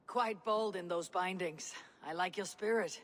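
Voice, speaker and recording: A woman playing a pragmatic, wry Viking warrior in a video game, speaking in a measured way. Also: lowish voice, natural voice. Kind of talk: video game cutscene acting.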